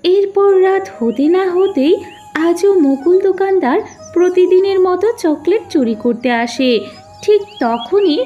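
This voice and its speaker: Woman storyteller reading a cartoon fairy tale, super high voice, faint music under it. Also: lively intonation, unhurried, dark tone, muffled recording.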